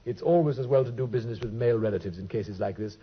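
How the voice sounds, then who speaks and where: An actor playing a brilliant, dryly teasing detective in a vintage radio drama, speaking with crisp, precise wit. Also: male voice, mid-range voice, quick pace, natural voice. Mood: wry. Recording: dull old radio recording.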